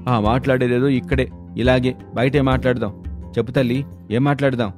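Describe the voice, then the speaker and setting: A man's voice: medium pitch, fairly even intonation, brisk, over music, lightly acted. A man voicing a harsh, confrontational moneylender in a dramatic storybook tale. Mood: angry, sarcastic. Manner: bullying, sarcastic, confrontational. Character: loud harsh male moneylender demanding repayment.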